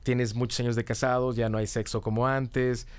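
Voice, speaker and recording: A man's voice: medium pitch, level tone, moderate pace, clear, resonant tone, no room sound. Mexican man chatting in a dry recording. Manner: explanatory, often ends phrases with tag questions. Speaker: podcast guest, comedy teacher and writer. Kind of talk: casual conversational interview answers.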